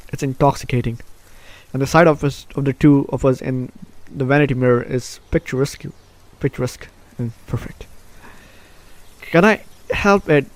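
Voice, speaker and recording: Male let's play host, middling pitch, reads narration aloud and breaks off for wry commentary. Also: a little mellow. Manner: wry, teasing asides. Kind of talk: reading visual novel narration aloud with ad-libbed reactions.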